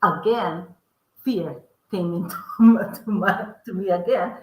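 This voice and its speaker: A woman's voice: mid-range voice, slow pace, echoey room, rough recording. A middle-aged woman from the Philippines with a strong Filipino accent, answering interview questions earnestly, often restarting her sentences. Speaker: podcast guest, author.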